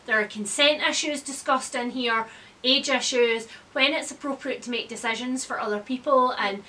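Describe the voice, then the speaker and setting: Woman book vlogger, 30s. High-ish, crisp bright tone, chatty and animated reviewing. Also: moderate pace. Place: indoor room with bookshelves.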